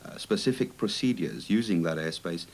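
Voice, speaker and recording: A man's voice: low-pitched, expressive, normal speed, a little mellow. A man making a formal interview statement, restating his points for emphasis.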